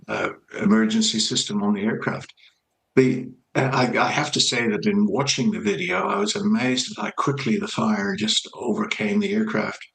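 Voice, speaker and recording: A man giving explanatory expert commentary in a news interview, speaking in a halting, measured way. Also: fairly low pitch, creaky, a bit bright, roomy sound, rough recording. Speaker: aviation expert being interviewed.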